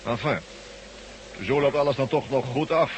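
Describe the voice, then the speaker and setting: A man's voice: mid-range voice, normal speed, lightly acted. An actor playing a self-assured, devout henchman of a false god in a science-fiction radio drama. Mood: smug. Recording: noisy old radio recording.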